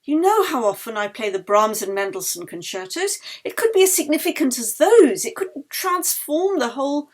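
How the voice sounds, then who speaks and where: A woman narrator voicing an earnest, reflective woman violinist in a storybook, thoughtful and emphatic, trailing into hushed tones. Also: high-pitched, sing-song, moderate pace, hardly any pauses. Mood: hopeful, wondering.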